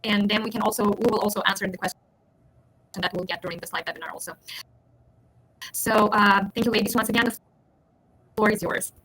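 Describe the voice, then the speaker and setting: Woman webinar host, bright tone, husky voice, friendly, many fillers. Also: mid-range voice, steady tone, fairly quick, long pauses, poor audio. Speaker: education advisor. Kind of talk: hosting a live webinar.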